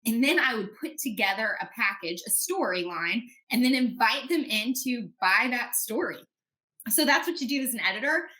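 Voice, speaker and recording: A woman guest on a podcast interview, explaining her points in an animated, confident way. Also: on the high side, normal speed, low-quality recording. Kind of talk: conversational interview.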